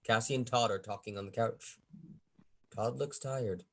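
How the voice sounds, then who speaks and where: A man's voice: medium pitch, normal speed, a bit bright. A man reading stage directions as the narrator of a script table read.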